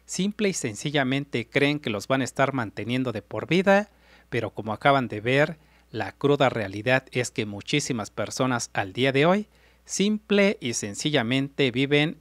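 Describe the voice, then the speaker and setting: A man's voice: medium pitch, expressive, a little slow, a bit bright, dry recording. A middle-aged man hosting a reaction vlog, talking earnestly to his viewers and repeating his points. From Mexico. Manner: earnest, repetitive, addresses viewers directly. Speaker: reaction video host. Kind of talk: reaction commentary. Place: indoor room before a city backdrop. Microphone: large desk mic with in-ear monitors.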